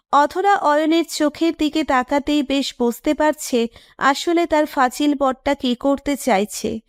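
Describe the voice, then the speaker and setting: A woman narrates a scripted romantic story in a high-pitched voice. The sound is dry and close, with a storyteller's delivery. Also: no echo.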